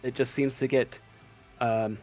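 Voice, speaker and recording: A man running a tabletop game as game master, narrating off the cuff and halting as he searches for words. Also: medium pitch, flat delivery, a little mellow, faint music behind.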